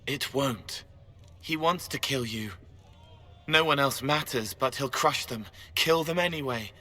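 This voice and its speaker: A man's voice: mid-range voice, unhurried, bright, forceful tone. An actor playing a strange, earnest young man in a fantasy game, speaking in blunt, clipped, matter-of-fact phrases. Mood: grave, urgent. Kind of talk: fantasy video game acting.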